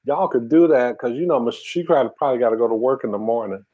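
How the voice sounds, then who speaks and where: A man hosting a casual eating stream, talking softly and half-whispering in a relaxed, drowsy way while reading comments. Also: mid-range voice, quick pace, muffled recording, slight background noise. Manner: soft, quiet, half-whispered talk. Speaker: ASMR mukbang channel host.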